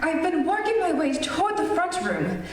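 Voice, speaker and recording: In a very echoey space, a little far from the mic, a woman plays a self-important psychic doctor in an old radio horror play. She has a high voice and speaks in formal, deliberate, portentous phrases. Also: very animated, a crowd in the background. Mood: grave, intent. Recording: echoey distant stage recording, faint noise.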